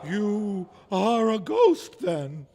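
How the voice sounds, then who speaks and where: Crotchety old male miser, very high and wildly swooping, raspy, stammering, fearful, radio drama, long reverb. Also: wildly swooping pitch, very slow, dark tone, full character voice. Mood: frightened. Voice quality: gravelly, raspy. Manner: quavering, stammering, theatrical exclamations.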